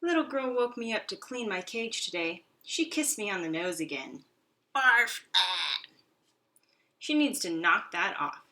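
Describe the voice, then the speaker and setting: A woman's voice: mid-range voice, lively intonation, measured pace, sharp tone. A woman voicing a self-satisfied little male pet hamster from a children's storybook, in a boastful, theatrical, exclamatory way. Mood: disgusted. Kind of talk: children's storybook read-aloud.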